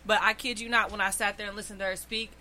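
Young woman panelist, reflective storyteller, bright tone. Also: mid-range voice, level tone, fast. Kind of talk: round-table discussion.

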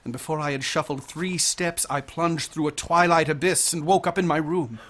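An obsessed young student with a fairly high male voice. He is haunted and intense, telling eerie visions in articulate, formal phrases with the theatrical delivery of radio drama. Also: steady tone, moderate pace, fairly bright tone. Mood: haunted awe. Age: young adult.

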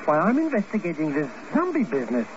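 An actor playing a cowardly, scheming secret agent sidekick with an excitable, blustering, whining manner in 1950s radio comedy style. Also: male voice, fairly high pitch, quick pace. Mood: nervous whining. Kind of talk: 1950s comic radio acting. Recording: noisy, muffled old radio recording.

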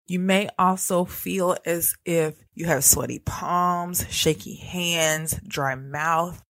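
A woman's voice: lowish voice, slow pace, fairly bright tone, dry recording. Earnest woman teaching about anxiety. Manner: earnest, repetitive phrasing, direct address. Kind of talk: teaching monologue.

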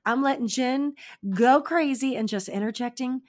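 A woman co-hosting a podcast in casual chat, with a naturally raspy voice that sounds strained and tired, chiming in with short remarks that trail off. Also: sits high, normal speed, darkish tone. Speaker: podcast co-host.

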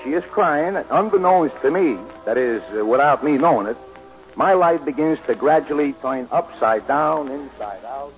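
A streetwise, luckless gambler , acted by a man, spins his story in slangy radio-comedy style. His voice sits fairly high for a man. The sound is a dull vintage broadcast with clear music. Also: lively intonation, moderate pace, lightly acted. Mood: wry narrating. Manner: streetwise, ungrammatical, colloquial storytelling. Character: down-on-his-luck wisecracking horse-betting gambler narrating his tale. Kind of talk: 1940s radio comedy acting. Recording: muffled old 1940s radio broadcast, music.

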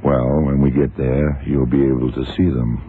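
An actor in an old radio drama playing a shrewd, calm investigator who speaks tersely and with quiet command. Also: male voice, very deep voice, normal speed, slightly rough. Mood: terse, focused. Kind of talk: 1960s-70s radio drama acting. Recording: noisy, tinny old radio broadcast.

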